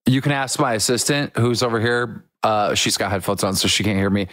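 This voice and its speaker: A young man hosting a casual podcast, an excitable storyteller who acts out what people said in quick bursts. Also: on the low side, dry recording. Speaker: podcast host.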